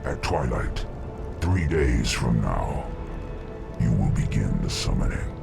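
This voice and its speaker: An actor playing an imperious, contemptuous giant demon lord in a video game, with a rumbling, gravelly voice. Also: male voice, sing-song, takes it slowly, over music, heavily acted. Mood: commanding, ominous. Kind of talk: video game cutscene voice acting.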